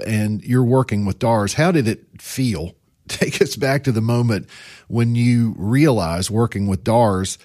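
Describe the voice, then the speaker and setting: Smooth podcast host, man. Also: fairly low pitch, darkish tone, dry recording, clean studio sound. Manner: smooth, prepared questions. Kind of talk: podcast interview.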